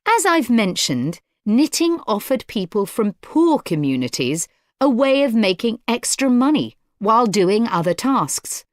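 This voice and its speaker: A woman gives a scripted lecture at an unhurried, deliberate pace. Her intonation rises and falls widely as she explains each point clearly. The sound is dry and close. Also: a little high, big pitch swings, dry recording. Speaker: lecturer in an exam listening recording.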